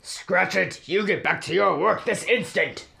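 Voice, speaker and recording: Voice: medium pitch, unhurried, gravelly, bright, forceful tone, exaggerated voice. A performer voicing a grumpy, miserly old cat businessman in a comic radio play, snappish and scornful. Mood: scornful, irritated.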